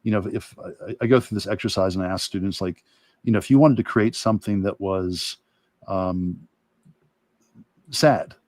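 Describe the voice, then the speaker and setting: A man who teaches art, talking casually and thinking aloud in a halting way during a livestream demo. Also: sits low, darkish tone, no echo. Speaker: art instructor and illustrator.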